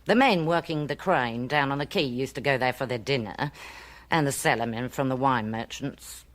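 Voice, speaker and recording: A woman's voice: very deep voice, moderate pace. An actress playing a self-important, gossipy clairvoyant woman with a haughty, theatrical manner in a radio drama. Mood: scornful gossip. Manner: haughty, theatrical, mysterious whispers.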